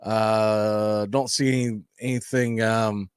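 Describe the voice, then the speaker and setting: A man's voice: lowish voice, little pitch movement, slow pace, clear, resonant tone, no room sound, studio quality. A man hosting a sports stream, giving conversational, folksy baseball pregame commentary. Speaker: sports radio host.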